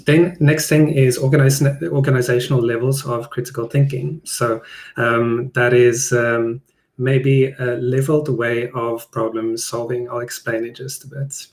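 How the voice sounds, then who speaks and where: A man's voice: mid-range voice, level tone, measured pace. A man, an Afrikaans speaker, presenting a live online design talk in a plain, conversational way. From South Africa. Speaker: product designer presenting a webinar.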